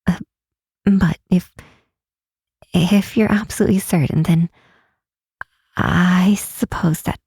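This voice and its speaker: A woman playing a timid, self-doubting young fantasy healer in an ASMR roleplay, speaking softly and haltingly in a breathy half-whisper. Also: fairly low pitch, steady tone, no echo, studio quality, exaggerated voice. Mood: shy, unsure. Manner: halting, sighing, unsure delivery. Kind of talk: ASMR roleplay, intimate and hesitant. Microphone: close, dry microphone.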